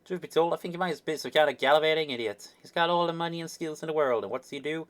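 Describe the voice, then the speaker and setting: A man's voice: fairly high pitch, fast, pitched above the performer's own voice, close to an everyday voice. A man voicing an opinionated young sidekick fan in a web comic, rambling through a mock-indignant rant with quaint slang.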